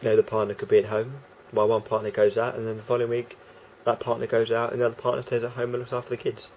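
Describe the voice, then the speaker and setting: Guy with a croaky gravelly voice rambling on in a vlog, lots of ums, faint hum in the background. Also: medium pitch, completely flat delivery, racing, a little mellow, highs cut off. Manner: rambling, hesitant, full of fillers and restarts. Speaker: vlogger. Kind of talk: casual monologue to camera.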